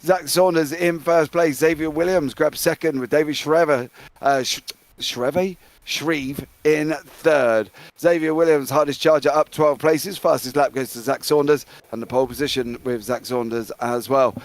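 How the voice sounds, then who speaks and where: A man with a British accent calling a live sim racing broadcast, excitable play-by-play that rattles off driver names. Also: a little high, normal speed, dry recording. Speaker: race commentator.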